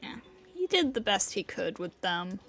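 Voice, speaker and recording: Female game streamer; offhand reactions, noticeable vocal fry, unhurried but varied intonation. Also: medium pitch, sing-song, fairly bright tone, faint music behind.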